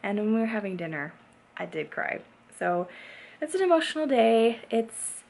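Higher-voiced young woman vlogging over background noise. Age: 20s. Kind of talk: casual chat to camera. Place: indoors at home. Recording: clear background noise, home room.

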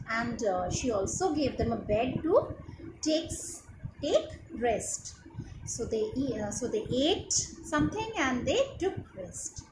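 A young woman with an Indian accent tells a moral tale to children in a high, very lively voice. She takes it slowly and keeps asking questions. It was recorded in an echoey room at home. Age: young adult. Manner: expressive and didactic, often asking questions to child listeners. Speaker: storyteller for children. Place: small room at home, indoors. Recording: roomy echo with clear background noise.

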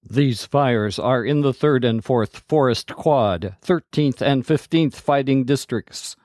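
A man's voice: medium pitch, a little mellow, no echo, clean studio sound, close to an everyday voice. A man doing a commanding ranger chief. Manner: commanding, measured orders. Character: tall, powerful commander of forest rangers. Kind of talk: storybook character voice.

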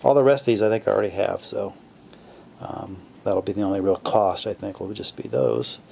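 A man casually narrating his radio restoration at a home workbench, relaxed and full of fillers as he thinks aloud. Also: on the low side, fairly quick, vocal fry, slight background noise. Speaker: hobbyist restoring a vintage radio.

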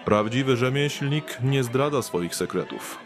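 A haughty, wealthy lord from a fantasy audio drama , played by a man. He takes his time over every formal, condescending phrase, nonchalant and superior, over clear background music. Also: medium pitch, animated, a little slow, close to an everyday voice. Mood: cool dismissal. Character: haughty, greying, wealthy lord and champion strategist.

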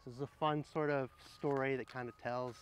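A middle-aged man speaking outdoors, sharing picture books in a gentle, explanatory way and addressing viewers directly. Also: medium pitch, fairly even intonation, fairly quick, a little hiss or hum. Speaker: horticulturist presenting children's books. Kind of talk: picture-book read-aloud and casual book recommendations. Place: outdoors under trees in an arboretum garden.

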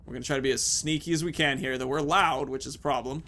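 A man's voice: medium pitch, fairly even intonation, fast, sharp tone, a little hiss or hum. A man in his thirties giving casual, informal, rambling commentary as he hosts a video game playthrough. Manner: rambling, informal, swears mildly. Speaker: gaming video host.

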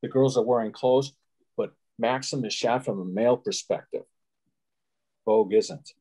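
A man in his sixties from Chicago, a guest photographer giving measured, rambling answers over a webcam video call from a small room at home. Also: mid-range voice, warm tone, husky. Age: 60s. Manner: measured, explanatory, rambling asides. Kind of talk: casual interview answers.

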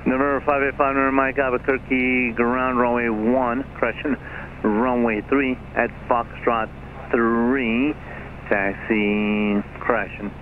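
A man's voice: mid-range voice, flat delivery, a little slow. A male ground controller giving procedural taxi instructions over an aircraft radio. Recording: tinny aviation radio, faint noise.

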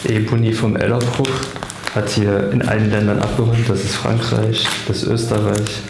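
A man with a German accent reading out certificates in a flat, matter-of-fact way while exploring, recorded off mic in an echoey room. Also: lowish voice, normal speed, vocal fry, very noisy. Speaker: urban explorer. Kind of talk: casual chat while exploring.

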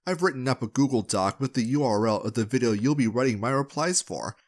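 A man's voice: medium pitch, expressive, fast. A man hosting his video channel, reading scripted narration to viewers in a playful, direct way. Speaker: video creator and channel host.

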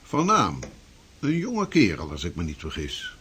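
A hearty, canny old-world clergyman of the sea, in a radio play. His husky voice swings widely in pitch as he delivers pompous, antique phrases. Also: huge pitch swings, measured pace, a bit bright, full character voice. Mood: genial, curious. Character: hearty, canny male Flemish minister and seafarer. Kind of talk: period radio drama acting.

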